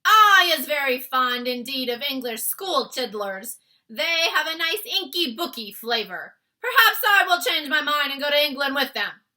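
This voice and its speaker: Woman acting bullying man-eating giant, loud roaring delivery, piercing bright tone, wildly swooping high pitch, mangled grammar, storybook. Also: sing-song, normal speed, full character voice. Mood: greedy relish. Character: brutish, bullying man-eating male giant, gluttonous and ill-tempered. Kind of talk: acted children's storybook read-aloud.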